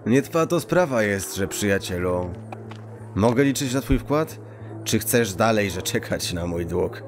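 Casting: male actor as chummy, scheming street thief, low and very unhurried, archaic turns of phrase, audio drama. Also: expressive, over music. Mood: sly, confident. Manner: mock-archaic, quirky halting phrasing, deliberate. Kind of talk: acted fantasy audio drama dialogue.

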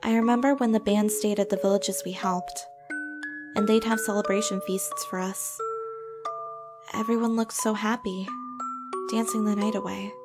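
A woman playing a stoic but soft-hearted young mercenary, a devoted daughter, in a dubbed comic drama. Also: medium pitch, fairly even intonation, moderate pace, pauses often, close to an everyday voice. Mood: wistful. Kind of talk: comic dub voice acting. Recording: music bed under the voice.

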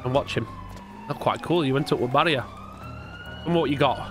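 A man's voice: on the high side, wildly swooping pitch, fairly quick, noisy background. A man bantering through an online game roleplay, cheeky, teasing and sweary. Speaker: gaming streamer, roleplayer. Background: game vehicle sounds and music.

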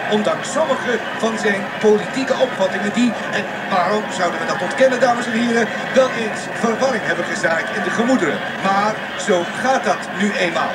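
An actor playing an excitable male radio reporter giving pompous, florid live commentary in a radio drama. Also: high-pitched, close to an everyday voice. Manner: declamatory, florid, repeats himself. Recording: noisy radio drama, outdoor street ambience.

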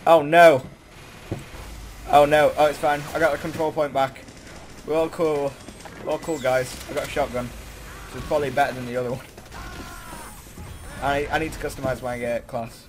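A young man giving casual, excitable, bantering commentary while hosting a gaming video. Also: mid-range voice, moderate pace, long pauses. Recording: noisy amateur game-chat mic.

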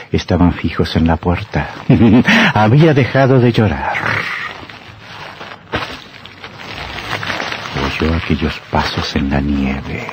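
An actor playing a sinister, mocking horror narrator in an old radio drama, theatrical, with drawn-out words and dramatic pauses. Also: male voice, low voice, a little mellow. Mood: suspenseful. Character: sinister male horror storyteller, slow, mocking, gleefully macabre.

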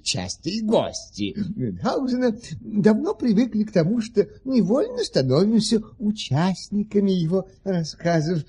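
An actor playing a forgetful, fawning marquis who tells stories in a dithering, stammering, effusive theatrical manner. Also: male voice, high voice, dark tone, slightly rough, full character voice. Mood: courtly, admiring. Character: flustered, forgetful, fawning marquis, an eager storyteller. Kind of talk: theatrical radio play acting.

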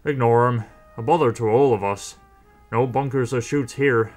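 A man voicing a gruff, no-nonsense shunter engine in a children's story, with a brusque, scolding, colloquial manner. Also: mid-range voice, fairly even intonation, normal speed, a little mellow, faint music behind, natural voice. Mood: dismissive.

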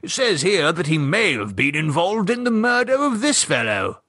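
A man voicing a fussy, mildly pompous older gentleman in an audiobook. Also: high voice, sing-song, normal speed, slightly rough, dry recording, clean studio sound, voice pushed up, heavily acted. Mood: gossiping. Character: fussy older English gentleman, chatty, newspaper reader. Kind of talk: audiobook character voice.

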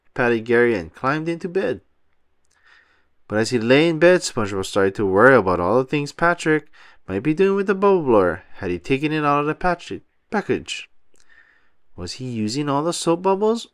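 Animated man reading a children's storybook. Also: fairly high pitch, moderate pace, dry recording, clean studio sound. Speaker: storybook reader. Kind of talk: expressive children's read-aloud narration.

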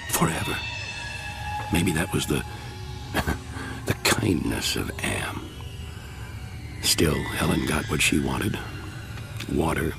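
A bleak radio drama narrator: a weary, bitter survivor who broods and halts. The voice is low and very slow, with music beneath it. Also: male voice, gravelly, exaggerated voice.

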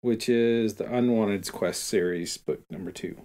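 A man's voice: lowish voice, unhurried, mellow. A middle-aged man co-hosting a podcast, talking casually about books. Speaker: podcast co-host. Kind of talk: casual book-discussion chat.

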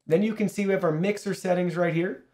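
A man in his thirties with a slightly high voice talks viewers through gear and software. His delivery is casual, upbeat and explanatory, like a friendly product overview, picked up on a studio shotgun mic. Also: steady tone, fast. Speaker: product reviewer and host. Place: small indoor studio. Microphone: shotgun studio microphone through an audio mixer.

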